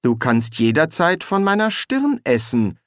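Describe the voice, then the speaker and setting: A man's voice: on the high side, sing-song, measured pace, a bit bright, no room sound, clean studio sound, voice pushed up. A man voicing a cocky, casually self-assured young man in an audiobook.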